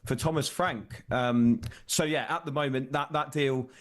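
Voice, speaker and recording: A man's voice: medium pitch, a little slow. A man working as a football reporter, explaining transfer news in an off-the-cuff, conversational broadcast discussion.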